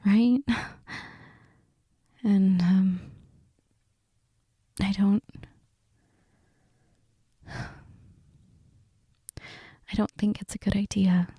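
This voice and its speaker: A woman acting as a flustered middle-aged mom who is under the weather, in an intimate roleplay audio. Her voice is breathy, husky and very mellow. She speaks slowly and haltingly, reluctant and soft, often close to a whisper. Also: medium pitch, steady tone, no echo, heavily acted. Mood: hesitant, flustered. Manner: hesitant, halting, soft-spoken.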